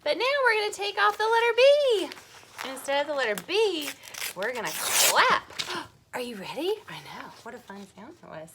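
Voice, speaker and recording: A woman hosting a children's storytime, talking to young viewers in an animated, encouraging way with playful questions. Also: sits high, normal speed, noisy background. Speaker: children's library storytime host.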